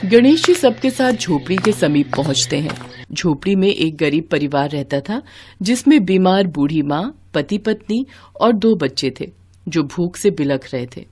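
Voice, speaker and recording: A woman's voice: on the low side, animated, unhurried, a little mellow, slightly rough, faint music behind. A woman narrator reading a scripted devotional moral story.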